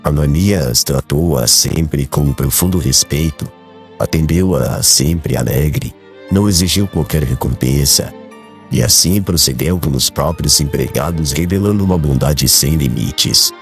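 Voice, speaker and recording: An actor playing a calm, tolerant, dignified household guardian in a dramatized audiobook, speaking in measured formal phrases. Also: male voice, a bass voice, soft background music. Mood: calm, conciliatory.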